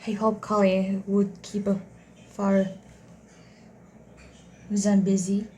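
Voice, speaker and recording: A woman casually commenting on her game playthrough in a halting way, with frequent restarts and repeats. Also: mid-range voice, little pitch movement, a little hiss or hum. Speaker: gameplay channel host. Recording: commentary over loud game music.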